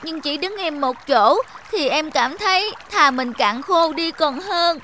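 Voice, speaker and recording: A high-voiced yearning young stream. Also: female voice, lively intonation, measured pace, pushed and bright, a little hiss or hum, full character voice. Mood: sad, pleading. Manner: pleading, emotional. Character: earnest, yearning young female stream spirit. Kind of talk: dubbed children's cartoon fable acting.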